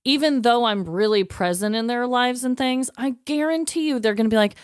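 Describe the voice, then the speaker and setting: Clear-voiced woman, earnest and empathetic. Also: on the high side, brisk, no room sound, clean studio sound. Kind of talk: candid casual conversation.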